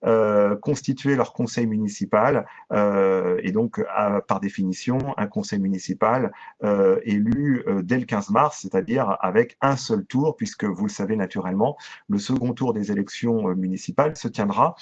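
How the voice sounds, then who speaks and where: A man giving a formal, methodical online training presentation in speech, hesitating very often with drawn-out filler sounds. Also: lowish voice. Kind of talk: explanatory online presentation to local councillors. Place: video conference with shared screen. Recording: dull, lo-fi video-call audio.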